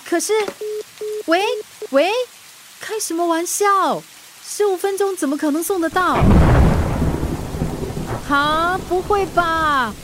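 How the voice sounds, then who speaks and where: A woman's voice: sits high, very noisy. A woman playing a feisty working mother who delivers food, in a radio drama, exclaiming and grumbling melodramatically. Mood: exasperated. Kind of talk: Chinese radio drama acting. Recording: rain and thunder sound effects.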